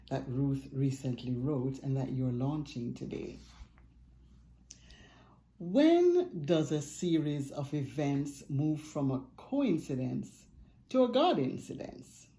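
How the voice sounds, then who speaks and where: An older woman, an author, reading a book's foreword aloud from her home study with expressive storytelling emphasis. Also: low voice, takes it slowly, poor audio. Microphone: webcam at desk, close framing.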